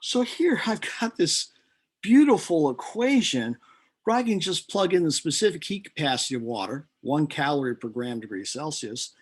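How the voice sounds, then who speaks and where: A man giving an informal, deliberate lecture as a chemistry teacher, working through equations step by step. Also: a little high, animated, breathy edge.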